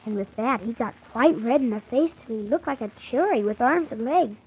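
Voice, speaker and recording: A performer playing Tim, a polite young boy telling his story with earnest, animated wonder on 1940s children's radio. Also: mid-range voice, fairly quick, husky. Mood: amused, excited. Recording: muffled, hissy 1940s radio transcription.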